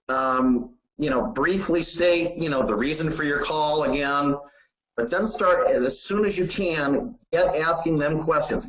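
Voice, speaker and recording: A man giving informal sales training in a halting, conversational way, often saying "you know". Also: on the high side, a bit bright. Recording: roomy, echoey sound.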